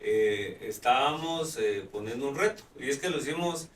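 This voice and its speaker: A man's voice: mid-range voice, animated, moderate pace, a little hiss or hum. A man hosting a live talk show, chatting with many fillers and restarts. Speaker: host. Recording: roomy, distant mic.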